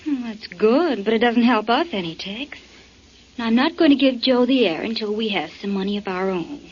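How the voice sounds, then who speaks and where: Sultry, scheming wife, muffled sound. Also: female voice, a little high, expressive, moderate pace, darkish tone, husky. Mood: coy, conspiring. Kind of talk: 1940s radio drama acting. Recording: muffled, hissy 1940s radio recording.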